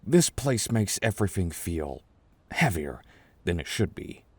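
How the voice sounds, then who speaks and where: A man voicing a gentle, eccentric older chess king in an ASMR roleplay, reassuring and rambling in a halting way. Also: low-pitched, very animated, husky, no echo, full character voice. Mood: gentle concern.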